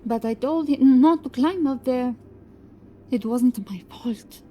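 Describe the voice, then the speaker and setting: A woman's voice: sits high, slow, mellow. A woman playing a frightened medieval prioress accused of witchcraft, pleading her innocence in a horror audio drama. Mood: defensive, pleading.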